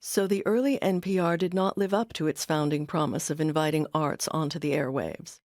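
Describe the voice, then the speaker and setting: A woman with an American accent giving scripted documentary narration in a measured, articulate way. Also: low-pitched, darkish tone, no room sound, clean studio sound.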